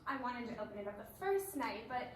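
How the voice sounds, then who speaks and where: A woman's voice: a little high, lively intonation, brisk, lightly acted. A woman performing readers theatre as a giddy, hopelessly romantic dating show contestant, gushing with enthusiasm. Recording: echoey room, distant mic, some noise.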